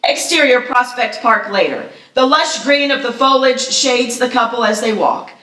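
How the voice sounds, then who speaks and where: Bright-voiced woman narrating in an echoey room. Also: fairly high pitch, normal speed. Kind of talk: reading screenplay action lines aloud at live table read. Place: live festival reading in echoey room. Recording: echoey room, distant mic.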